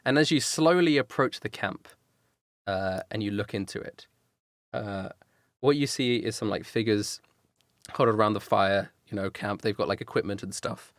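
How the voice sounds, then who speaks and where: A man's voice: on the low side, normal speed, no echo, studio quality. A man running a tabletop game as dungeon master, describing scenes loosely with many fillers.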